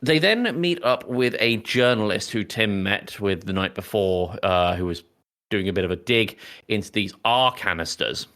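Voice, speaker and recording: A man's voice: fairly low pitch, lively intonation, moderate pace, fairly bright tone. A man co-hosting a podcast, recapping a film in a casual, rambling way that often interrupts itself. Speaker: podcast co-host.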